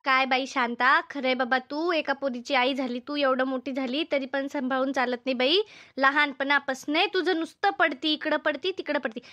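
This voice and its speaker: Woman voicing a very high, piercing, nagging cartoon mother. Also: steady tone, moderate pace, sharp tone. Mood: scolding. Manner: nagging, repetitive, fretful. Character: Shanta's fussing, scolding older village mother. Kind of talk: comedy cartoon dubbing.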